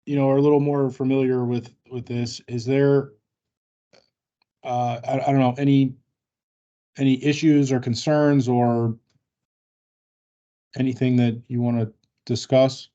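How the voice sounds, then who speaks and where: Halting, mellow man on dull meeting audio. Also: medium pitch, level tone. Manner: halting, measured. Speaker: senior colleague answering trainees' questions. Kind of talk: informal explanatory workplace talk.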